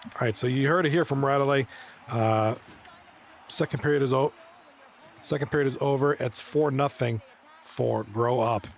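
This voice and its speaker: Hoarse man calling live hockey games. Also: mid-range voice, moderate pace, pauses often. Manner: casual, chatty, talks to chat viewers. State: hoarse, losing his voice. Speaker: esports league commentator. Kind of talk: live stream play-by-play and chat reading.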